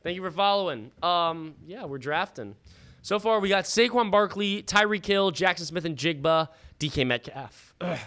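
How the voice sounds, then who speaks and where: Guy streamer with kinda high voice, bit worn out from talking, casual sports chat, swears, thinks out loud. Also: animated, normal speed. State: losing his voice. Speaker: livestream host. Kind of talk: casual live fantasy football draft commentary with chat shout-outs.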